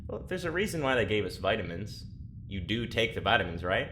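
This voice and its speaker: Low-voiced casual man acting a prisoner, noisy backdrop. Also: very animated, a little fast, fairly bright tone. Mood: casual, hesitant. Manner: casual, plainspoken. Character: easygoing, sensible young male test-subject prisoner. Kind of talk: audio drama acting. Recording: clear background noise and ambience.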